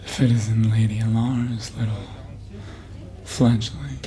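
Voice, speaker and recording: Man playing a slow, drawling, sly vampire lord. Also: lowish voice, flat delivery, crowd noise, lightly acted. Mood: sly, amused. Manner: drawling, teasing, theatrical pauses. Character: smooth, sly vampire lord, amused and flattering. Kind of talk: ASMR fantasy roleplay.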